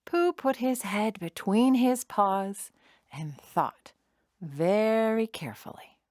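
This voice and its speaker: Animated woman narrating a children's story. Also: medium pitch, dry recording, studio quality. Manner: expressive, deliberate storytelling.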